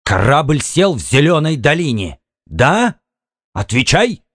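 A man's voice: on the high side, big pitch swings, takes it slowly, fairly bright tone, no room sound, studio quality, pitched above the performer's own voice, heavily acted. A man playing an irritable, demanding, jealous husband in a dramatised audiobook. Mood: angry, interrogating. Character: jealous, stern, domineering Martian husband.

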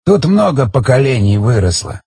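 A man voicing an ancient, frightened underground elder in an audiobook, speaking in slow, hushed, halting phrases. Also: mid-range voice, very animated, breathy edge, dry recording, exaggerated voice. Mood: weary, recounting.

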